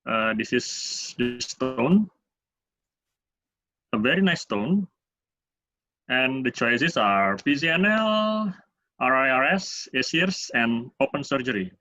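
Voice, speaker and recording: A man with an Indonesian accent giving a medical lecture as a urologist, speaking in halting, hesitant phrases. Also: medium pitch, sing-song, sharp tone, a little hiss or hum. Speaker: urologist presenting at a medical webinar. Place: online webinar. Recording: dull, roomy webinar audio.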